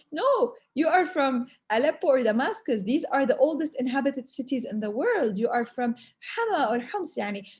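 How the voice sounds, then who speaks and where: A woman's voice: high voice, expressive, normal speed, a little mellow. A woman whose first language is Arabic, answering questions at length as a humanitarian speaker in a webinar, earnest and hesitant in phrasing with Arabic filler words.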